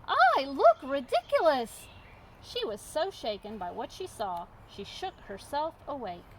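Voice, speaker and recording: A woman narrating a children's storybook expressively, with measured, emphatic storytelling. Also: medium pitch, big pitch swings, slight background noise, low-quality recording. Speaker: storybook reader.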